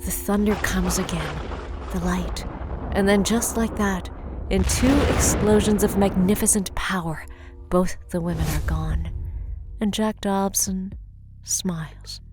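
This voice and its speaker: A woman's voice: medium pitch, fairly even intonation, a little slow, music in the background. A woman narrator delivering dramatic scripted narration for an audio story.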